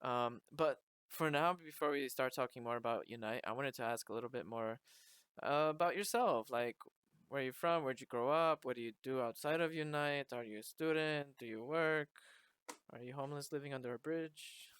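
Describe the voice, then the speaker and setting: A man's voice: mid-range voice, moderate pace, a bit bright, smooth, no echo, clean studio sound. A man hosting a podcast, chatting and asking questions in a casual, conversational interview style. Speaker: podcast host.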